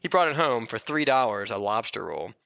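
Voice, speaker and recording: Gravelly, lo-fi man bantering on a podcast. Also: medium pitch, big pitch swings, fairly quick, a bit bright, no room sound. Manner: slangy, blunt, joking. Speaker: podcast co-host. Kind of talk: casual banter.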